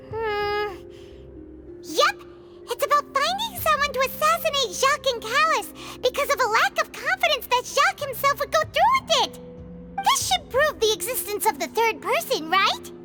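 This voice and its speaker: A woman voicing a tiny, excitable, childlike fairy companion in a video game, squeaky and chatty, full of exclamations. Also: exaggerated voice. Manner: exclaiming, self-important, refers to herself by name. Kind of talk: animated video game acting. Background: background music.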